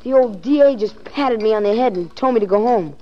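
A woman's voice: a little high, expressive, brisk, mellow, lightly acted. A woman playing a small, plucky, stubborn boy with earnest, slangy talk in a 1940s radio drama. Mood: hopeful, pleading. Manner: slangy, insistent kid talk. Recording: muffled 1940s radio recording.

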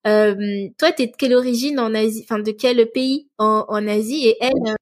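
A woman's voice: fairly high pitch, fairly even intonation, normal speed. A woman hosting a casual interview in an informal, encouraging manner. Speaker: podcast interviewer, host.

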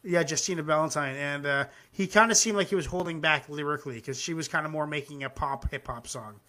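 High, bright-voiced casual male host. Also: a little fast. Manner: loose and profane, with frequent fillers; reads his own comic poems aloud. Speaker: host of a music reaction show. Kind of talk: casual live-stream chat.

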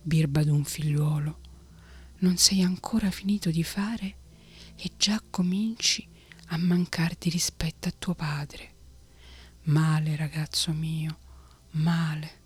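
A fretful old woodcarver murmuring in a hushed, airy whisper, as a woman puts him on. His voice sits low and moves slowly. It is a storybook father, quietly grumbling at his creation. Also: fairly even intonation, husky, exaggerated voice. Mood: reproachful.

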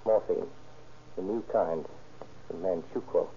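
Low-voiced, secretive smuggler. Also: male voice, unhurried, dark tone. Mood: calm, secretive. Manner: formal, clipped, precise phrasing. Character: calm, secretive male drug smuggler aboard ship. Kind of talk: 1930s radio drama acting. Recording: tinny, hissy old radio recording.

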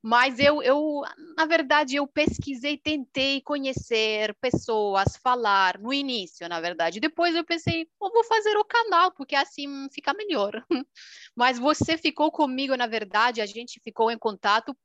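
A young woman from Romania, a native Romanian speaker with a Romanian accent, chatting casually in an expressive, animated way. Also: sits high, a little slow, no echo. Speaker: language video creator. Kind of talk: casual chat.

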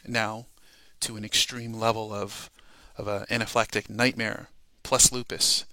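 A man speaks with a gravelly fry and a sharp, bright edge, recorded close and dry. He gives an informal devotional talk as a ministry podcast host. His delivery is earnest and emphatic, punctuated by short checks with the listener. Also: on the low side, flat delivery, a little slow, no echo. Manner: earnest, emphatic, often checks with "okay?" and "all right?".

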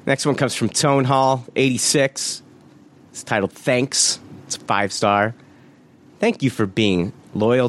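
A fairly low-pitched man hosts a podcast in an easygoing, chatty way. He reads listener reviews aloud, laughs often and drops the odd swear word. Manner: laid-back, laughs often, swears casually. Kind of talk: casual podcast chat.

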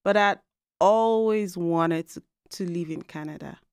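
A Nigerian woman in her thirties telling her story in a casual podcast chat, animated and laughing often. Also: lowish voice, a little slow, clear, resonant tone, no echo, clean studio sound. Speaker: podcast co-host and guest. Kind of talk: casual conversational interview.

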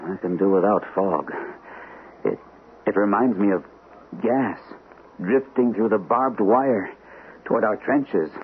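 An old, tinny radio recording carries an actor as a fragile, shell-shocked captain. His voice is fairly low and halting, anxious as he tells his story in radio drama style. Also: natural voice. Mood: uneasy. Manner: hesitant, halting delivery. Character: shell-shocked army captain, anxious, fragile First World War veteran. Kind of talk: old-time radio drama acting with first-person narration. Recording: muffled, tinny old radio broadcast, faint hiss.

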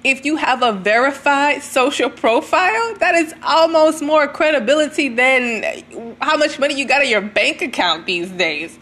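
A woman's voice: high voice, animated, moderate pace, fairly bright tone. A woman giving a motivational talk as a guest speaker, chatty and addressing her listeners directly. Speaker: entrepreneur and stylist guest speaker.

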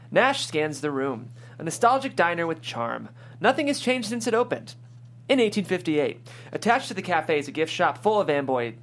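A woman reading stage directions as scripted narration at a table read. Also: deep voice. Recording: clear background hum and noise.

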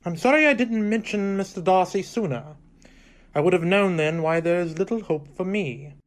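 A man's voice: sits high, normal speed, natural voice. An actor playing a pompous, formal, self-satisfied clergyman in a radio drama, speechifying as a stiff suitor. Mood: smug, earnest. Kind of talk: theatrical radio drama acting.

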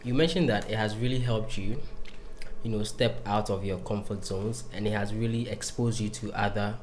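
A man hosting a podcast, asking questions in a relaxed way full of "you know" and "right". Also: on the low side, normal speed, slight background noise. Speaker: podcast host. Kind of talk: casual interview chat.

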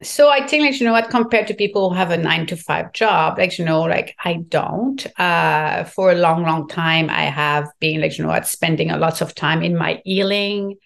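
A middle-aged woman from Quebec with a French accent, speaking reflectively as a podcast guest and often filling pauses with "like, you know". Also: lowish voice, creaky. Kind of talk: podcast interview conversation.

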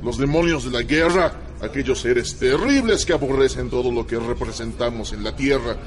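A man's voice: medium pitch, normal speed, a little hiss or hum, natural voice. A man playing a cold, clinical interrogator in a radio drama. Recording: music bed behind voice.

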